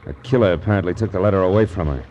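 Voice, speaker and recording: An actor playing a tough, wry private detective with a clipped, commanding delivery, in 1940s radio drama style. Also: male voice, low voice, rapid-fire, darkish tone, lightly acted. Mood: grim, wry. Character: tough, wry hard-boiled private detective in his prime. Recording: noisy vintage radio recording.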